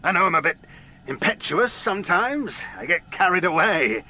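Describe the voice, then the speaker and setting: A man's voice: high voice, very animated, moderate pace, pushed and bright, a little hiss or hum, exaggerated voice. An older actor playing a conniving, needy, melodramatic old father in an audio drama, wheedling and theatrical. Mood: wheedling, pleading.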